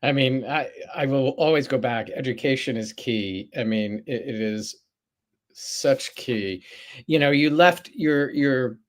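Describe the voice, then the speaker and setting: This is a man hosting a casual interview, at a middle pitch. He affirms his guest's points and asks open, friendly questions, with small restarts mid-phrase. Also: measured pace. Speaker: talk show host.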